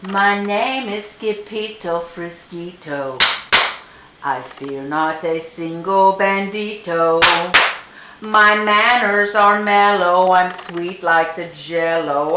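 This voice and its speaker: A woman's voice: high-pitched, big pitch swings, drawn-out words, echoey room, dull audio, background noise, voice pushed up, exaggerated voice. Lady playing a show-off little kitten boy from a picture book, singsong, stretches words, swoops around. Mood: singsong boasting. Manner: theatrical, exuberant, singsong. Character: bouncy, boastful little boy kitten playing Chihuahua hero.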